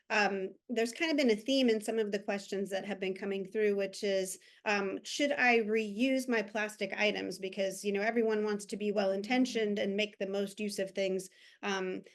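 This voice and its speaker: Hesitant woman moderating a webinar. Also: medium pitch. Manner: hesitant, many fillers.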